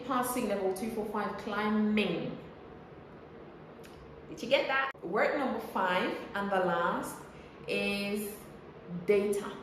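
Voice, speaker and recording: A woman coaching English pronunciation in a conversational, explanatory style, enunciating carefully and posing rhetorical questions like a teacher. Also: medium pitch. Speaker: English pronunciation coach and air traffic controller. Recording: very echoey room with faint noise.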